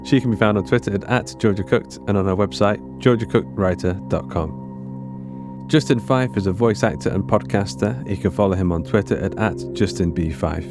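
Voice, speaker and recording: A podcast host reading the episode credits in a brisk, casual, upbeat way. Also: male voice, fairly low pitch. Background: music.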